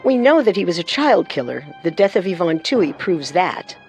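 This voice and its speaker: A woman co-hosting a scripted true-crime programme, narrating in a measured, serious way with music behind her. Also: fairly low pitch, big pitch swings, a little mellow. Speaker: co-host narrator.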